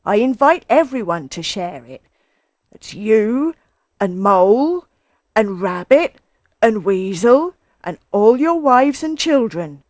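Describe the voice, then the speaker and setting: A woman's voice: fairly high pitch, very animated, slow, a little mellow, no echo, clean studio sound, voice pushed up. A woman voicing a sly, confident male fox in a children's story, suave and assured. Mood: generous.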